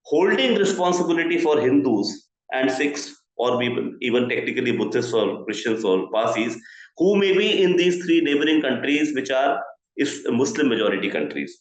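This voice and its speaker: A man with an Indian accent explains policy as a journalist, in a deliberate, explanatory manner with rhetorical check-ins. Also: mid-range voice, big pitch swings. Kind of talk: explanatory news analysis. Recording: very echoey, reverberant room.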